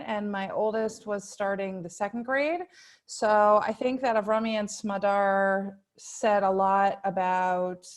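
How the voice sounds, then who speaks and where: A woman in her thirties from New York, talking casually as a guest on a video call, with many restarts and fillers. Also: mid-range voice. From New York, USA. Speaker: panel guest.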